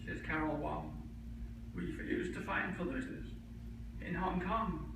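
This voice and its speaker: Older male academic, high-pitched, reciting comic verse in short measured lines; distant, very echoey hall recording. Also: gravelly. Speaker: academic researcher reading his own poem. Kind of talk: reciting a humorous rhyming poem at a conference. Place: conference room, recorded from a distance. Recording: echoey hall from far off, noisy.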